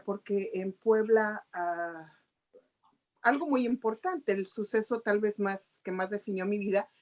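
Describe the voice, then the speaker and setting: Chatty Mexican woman guest. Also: fairly high pitch. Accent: Mexican Spanish. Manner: chatty, explanatory. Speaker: guest journalist. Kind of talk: interview answers.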